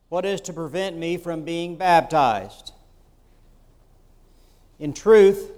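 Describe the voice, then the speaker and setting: A man's voice: fairly high pitch, a little mellow. A man preaching a sermon as bishop, telling the story in a conversational way with rhetorical asides. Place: church sanctuary. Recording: long church reverb.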